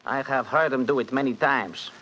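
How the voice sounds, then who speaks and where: A man's voice: medium pitch, normal speed, bright, forceful tone, muffled recording, close to an everyday voice. A man acting a grave, formal Brazilian manservant with a Brazilian accent and broken phrasing in a 1940s radio drama. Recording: hissy 1940s radio recording.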